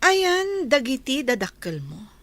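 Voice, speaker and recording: A woman's voice: high-pitched, very animated, slow, no echo, exaggerated voice. A woman playing a haughty, scornful lady of a mansion in a radio drama, speaking in a cutting, condescending way. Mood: haughty, probing. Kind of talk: Ilocano radio drama acting.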